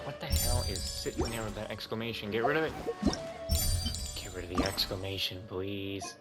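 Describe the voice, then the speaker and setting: A man's voice: on the low side, normal speed, loud music behind the voice. A man giving casual, sarcastic commentary over his gameplay, grumbling about the game. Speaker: gaming streamer.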